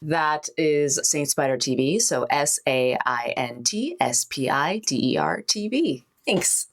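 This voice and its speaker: A woman's voice: low-pitched, lively intonation, slow pace. A woman playing in a tabletop game, chatting casually and playfully about what her character does.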